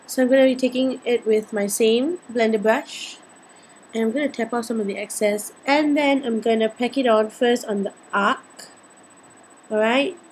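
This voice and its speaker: High husky young Singaporean woman. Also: normal speed, poor audio. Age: 20s. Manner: chatty, playful, gushing. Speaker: beauty vlogger. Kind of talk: casual makeup tutorial chat. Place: indoors, small home room.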